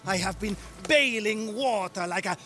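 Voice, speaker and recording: High, bright-voiced grumbling seafarer. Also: male voice, moderate pace, slight background noise. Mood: indignant. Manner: theatrical, aggrieved. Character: excitable, grumbling seafarer devoted to sea god. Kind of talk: cinematic game dialogue.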